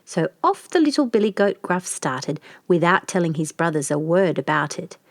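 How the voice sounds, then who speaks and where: A woman narrates a bedtime fairy tale for children. Her reading is expressive, with pitch that rises and falls widely, and the sound is close and dry. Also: moderate pace, dry recording. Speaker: storyteller. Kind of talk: scripted children's storybook narration.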